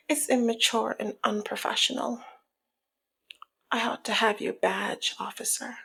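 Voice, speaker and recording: Woman acting a breathy, slow-talking flirty mob boss. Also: fairly high pitch, fairly even intonation, fairly bright tone, heavily acted. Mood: mocking, teasing. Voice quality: breathy, husky, often slipping into near-whisper. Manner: slow, sultry, playful taunting. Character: flirty, teasing, dominant female mob boss. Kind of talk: ASMR roleplay, intimate one-sided dialogue.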